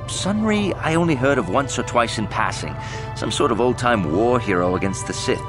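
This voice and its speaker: A man's voice: mid-range voice, big pitch swings, normal speed, lightly acted. A man playing an irritable, put-upon hotel guest in a video game, grumbling in an exasperated way. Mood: irritated. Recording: music behind the voice.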